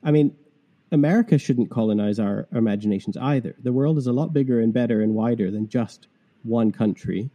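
Dark-voiced Canadian man with English-tinged accent. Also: mid-range voice, brisk. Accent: Canadian with English influence. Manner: earnest, reflective, asks pointed questions. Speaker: podcast host. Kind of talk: conversational podcast discussion.

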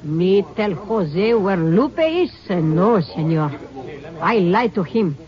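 Worried mexican mom, broken english, out of breath, dull old radio sound. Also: female voice, mid-range voice, lively intonation, slow pace. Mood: anxious. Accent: Mexican Spanish. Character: older Mexican mother, cotton picker, protective. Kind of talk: 1950s radio acting.

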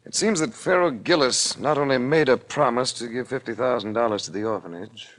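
An American actor playing a cool, wry investigator in a 1940s radio crime drama, with an unflappable, dryly sardonic manner. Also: male voice, medium pitch, fairly quick, fairly bright tone, lightly acted. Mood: matter-of-fact. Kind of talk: 1940s radio detective acting. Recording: vintage 1940s radio recording.

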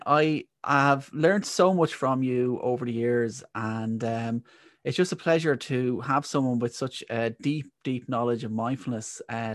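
A man's voice: medium pitch, no room sound, clean studio sound. A man hosting a podcast, introducing his guest in an effusive, enthusiastic way. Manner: warm, effusive, enthusiastic welcomes. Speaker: podcast host.

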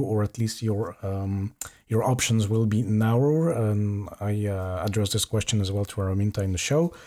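A man hosting a podcast interview in a casual way, pausing often with filler sounds and restarting sentences. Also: medium pitch, warm tone. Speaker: podcast host.

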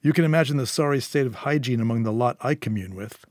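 A man's voice: medium pitch, fast, a little mellow, dry recording, studio quality, close to an everyday voice. A man voicing a suave crime boss who is coldly polite and threatening. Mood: smooth. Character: suave menacing male London crime boss.

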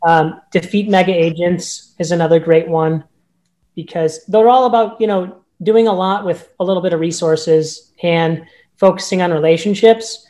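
High-voiced man giving emphatic advice. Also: fairly even intonation, moderate pace, a little mellow. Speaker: real estate agent guest. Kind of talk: casual podcast interview.

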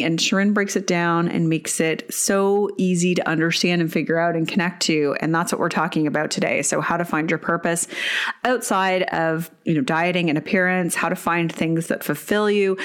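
A woman hosting a podcast, introducing her guest and asking questions with gushing enthusiasm. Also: fairly low pitch. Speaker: podcast host. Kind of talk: podcast interview. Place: lives in Vancouver.

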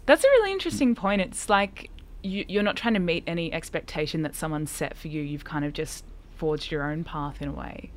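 A woman's voice: low-pitched, animated, a little fast. Encouraging female interviewer. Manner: warm, encouraging interviewer who laughs along. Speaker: radio host. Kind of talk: conversational interview.

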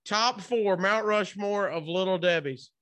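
A man doing a casual, playful interview as the host, chatty and prompting with quick follow-ups. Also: high voice, a bit bright.

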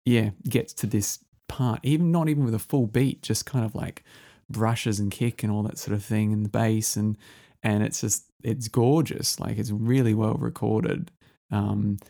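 A man's voice: fairly low pitch, vocal fry, mellow. A man hosting a casual music interview, rambling and enthusiastic with lots of fillers. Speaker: podcast host.

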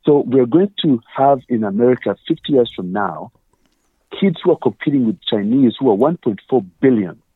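A man's voice: mid-range voice, breathy edge, no echo, phone-quality sound. A man with a Ugandan accent, a guest earnestly explaining his views with examples and quoted questions. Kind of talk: conversational interview.

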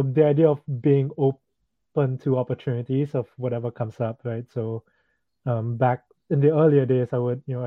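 A man's voice: mid-range voice, no echo. Young man, from Singapore, casual filler-heavy talk, tinny phone-like line. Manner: casual, many fillers like "like" and "you know", easy laughs. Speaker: guest, digital freelancer. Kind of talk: casual interview chat.

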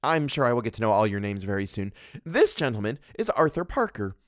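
A man's voice: medium pitch, sing-song, a little fast, no echo, clean studio sound, lightly acted. A man reading a story and voicing an imperious, fussy middle-aged woman who scolds and condescends. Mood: haughty scolding.

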